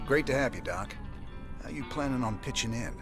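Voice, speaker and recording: An actor playing a gruff, good-natured rebel commander in a video game cinematic, with a folksy, laid-back manner. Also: male voice, medium pitch, animated, a little fast, music in the background, close to an everyday voice. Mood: wry, friendly.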